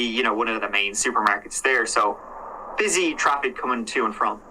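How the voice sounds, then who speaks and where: A man's voice: medium pitch, pushed and bright, a little hiss or hum, rough recording. A man casually retelling a true crime case in a conversational way, with hedges and fillers. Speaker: true crime reaction host.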